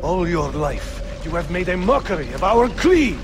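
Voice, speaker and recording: A volatile young rival: high, wildly swinging voice, accusing, game score underneath. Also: male voice, sing-song, moderate pace, faint music behind. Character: proud, bitter young male assassin rival. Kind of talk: video game cutscene acting.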